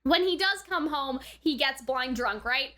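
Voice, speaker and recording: A woman's voice: high voice, animated, unhurried, pushed and bright. A woman hosting a casual, chatty commentary and recap, often checking in with "right?". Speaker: host of an anime reaction and review channel.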